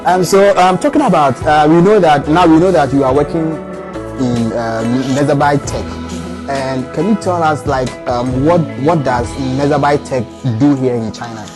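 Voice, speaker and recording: A man's voice: on the high side, moderate pace, over music. A man with a Ghanaian accent hosting a casual interview show, often echoing back what is said to him. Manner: echoes the guest's phrases back.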